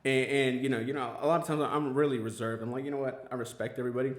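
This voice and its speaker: A man's voice: mid-range voice, fairly even intonation, quick pace, echoey room. A man hosting a casual podcast chat, talking earnestly with lots of fillers and restarts. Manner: rambling, filler-heavy, earnest. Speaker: podcast host.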